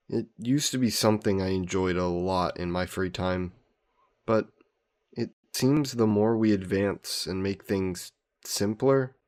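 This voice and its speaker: A man playing an old aristocratic vampire in a romantic audio roleplay, speaking in formal, genteel, measured phrases. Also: on the low side, darkish tone. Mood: gently teasing. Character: ancient aristocratic male vampire, courtly, protective, gently teasing.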